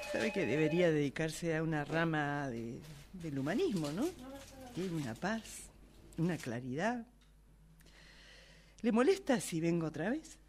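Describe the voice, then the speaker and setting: A woman acting a hot-tempered, impulsive, emotional Argentine woman in an amateur radio play, haltingly excusing her rages and repeating words when flustered. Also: low voice, big pitch swings, noisy background. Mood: soothed, sheepish.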